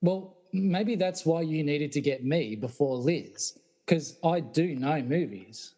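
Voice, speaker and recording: Sceptical, bewildered science teacher played by a man in a comedy radio play, fairly high voice, incredulous. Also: slow pace, dark tone. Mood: reasoning. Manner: questioning, incredulous.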